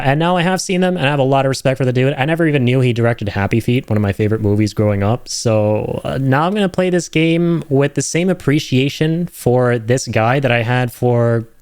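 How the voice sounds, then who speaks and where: A man's voice: fairly low pitch, darkish tone, smooth, no room sound. A young man hosting a casual reaction, enthusiastic and rambling, with lots of fillers and "right?" tag questions. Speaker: host reacting to game trailers.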